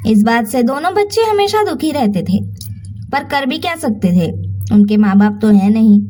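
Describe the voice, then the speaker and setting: A woman narrating a scripted bedtime story in an expressive, earnest way as the host. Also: a little high, moderate pace.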